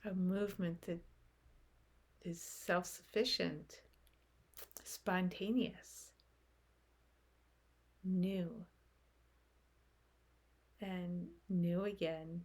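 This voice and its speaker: A woman leading a guided meditation in a gentle, unhurried manner, speaking in short phrases with long pauses. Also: lowish voice, fairly even intonation.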